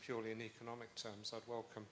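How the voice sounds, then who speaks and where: An older man, an emeritus professor, making a measured point from the audience at a conference. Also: lowish voice, monotone, fairly bright tone, reverberant, a little hiss or hum.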